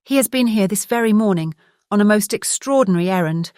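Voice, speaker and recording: An actress with a British accent playing a gentle, distressed married gentlewoman in an audiobook drama, speaking earnestly and hesitantly. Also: medium pitch, animated, a little fast, clear, resonant tone, dry recording, studio quality, natural voice. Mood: shaken. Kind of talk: full-cast audiobook drama reading.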